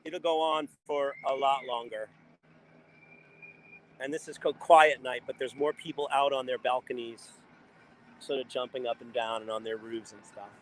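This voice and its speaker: A man's voice: medium pitch, steady tone, normal speed, slight background noise, rough recording. A man chatting off the cuff as a live show host, rambling and thinking aloud in a casual way. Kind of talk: casual improvised chat.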